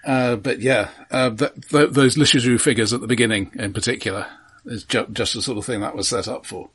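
A man co-hosting a film podcast, casually explaining things in a halting, conversational way. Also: mid-range voice, steady tone, a bit bright, slightly rough.

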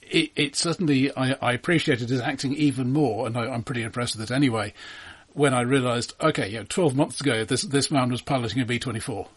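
Male film-show co-host, somewhat rough-edged husky voice, average pitch, conversational, measured and opinionated. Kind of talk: casual film discussion.

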